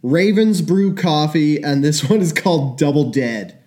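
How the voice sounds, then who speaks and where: A young man co-hosting a podcast in casual chat, animated and quick to laugh. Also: on the high side. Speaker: podcast co-host.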